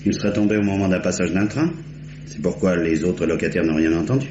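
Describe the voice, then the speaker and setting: An actor playing a dry, sceptical police inspector who speaks curtly and with irony, in an old radio drama. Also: male voice, low voice, a little fast, natural voice. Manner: curt, ironic. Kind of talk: 1950s radio drama acting. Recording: roomy, muffled old broadcast with hiss.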